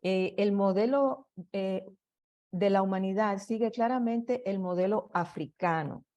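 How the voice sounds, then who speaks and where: Slow, halting woman giving a lecture. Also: mid-range voice, a little mellow, dull audio. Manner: slow and halting, with restarts and fillers. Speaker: presenter. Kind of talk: slide presentation lecture.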